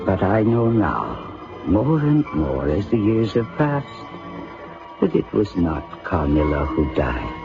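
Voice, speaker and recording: Deep, slow, haunted narrator. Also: male voice, wildly swooping pitch, vocal fry, full character voice. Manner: measured, solemn, dramatic. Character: haunted father looking back, somber narrator. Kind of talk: radio drama narration. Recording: muffled old radio recording with music.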